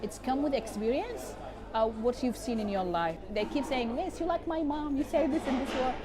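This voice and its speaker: A woman who learned English later in life, a high school teacher speaking earnestly in an interview, with hesitations and non-native phrasing. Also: moderate pace. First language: not English. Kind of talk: reflective interview testimony. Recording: clear background noise.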